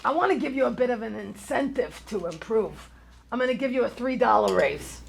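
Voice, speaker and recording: A woman's voice: fairly high pitch, expressive, fairly quick, creaky, a little hiss or hum, rough recording. A woman playing an awkward, kindhearted divorced bar owner in his fifties, hesitant and stammering, in a live comedy table read. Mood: earnest, gentle. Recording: live stage reading before an audience.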